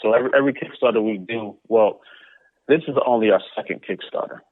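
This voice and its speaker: Male guest, lowish pitch, casual talker, thin phone-quality audio with no room sound. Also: fast talker, no room sound. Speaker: interview guest, comic book creator. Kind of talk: casual conversational interview answers. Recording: narrow, tinny phone-like line.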